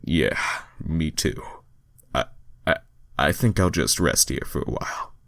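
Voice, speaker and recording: A man voicing a laid-back, weary male cartoon hedgehog hero with a halting, easygoing delivery, for fan fiction. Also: extremely low pitch, lively intonation, gravelly, no echo, clean studio sound, full character voice. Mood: worn out, relaxed.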